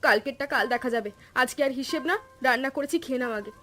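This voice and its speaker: Caring village wife for a cartoon, high voice, brisk, scolds with affection. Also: female voice, sharp tone, faint music behind. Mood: practical, affectionate. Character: caring, bossy, practical young village wife. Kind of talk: Bengali cartoon story voice acting.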